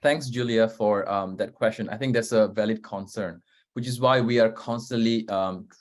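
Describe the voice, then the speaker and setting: A man's voice: fairly low pitch, moderate pace. A young man, a startup founder, answering on a webinar panel in an earnest way with many hesitations. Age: young adult. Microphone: video call.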